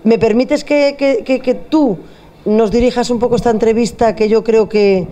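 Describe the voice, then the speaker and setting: A middle-aged woman hosts a food show in a bar with a handheld mic. Her voice sits high and mellow, and she talks in a chatty, joking way. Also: moderate pace. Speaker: host. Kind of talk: lively on-location food show hosting. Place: bar with wine shelves, indoors.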